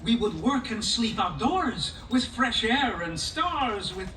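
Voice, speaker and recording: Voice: medium pitch, sing-song, slow pace, bright, forceful tone, full character voice. A performer playing a harried, good-natured village father in a live puppet show, exclaiming and pleading in comic exasperation. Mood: pleading, wry. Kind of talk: live puppet show folk tale acting. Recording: echoey live show, distant mic.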